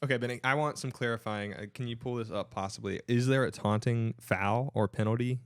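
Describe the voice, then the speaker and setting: A man's voice: mid-range voice, steady tone, a little fast, dry recording, studio quality. A man co-hosting a podcast, chatting casually and asking questions about sports. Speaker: podcast co-host.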